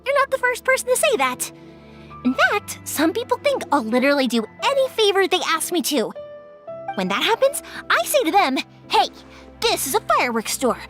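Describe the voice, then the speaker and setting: Energetic young shopkeeper, talkative and upbeat; very high, lively delivery. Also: female voice, moderate pace, faint music behind, heavily acted. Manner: bubbly, talkative. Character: cheerful young fireworks-shop owner, energetic. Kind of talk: video game voice acting.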